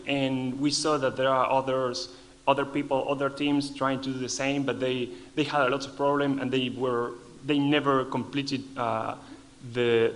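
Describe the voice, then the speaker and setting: Argentinian guy talking at a conference, spanish is his first language, stops and restarts a lot, mid pitch. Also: fairly bright tone, low-quality recording. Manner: halting, restarts phrases often. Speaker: conference speaker. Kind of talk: lightning talk.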